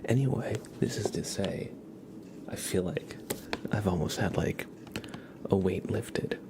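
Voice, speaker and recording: Soft asmr guy chatting to his stream, kinda deep n crackly, half whispering, noisy background. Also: a little slow, vocal fry. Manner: rambling, gentle, often slipping into whisper. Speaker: ASMR livestream host. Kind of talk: soft-spoken ASMR with casual livestream chat.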